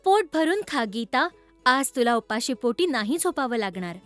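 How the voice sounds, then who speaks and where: A woman voicing a kind, gentle fairy in a children's cartoon story, explaining things in a measured, instructive way. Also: on the high side, big pitch swings, faint music behind. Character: kind fairy granting a magical boon, gentle.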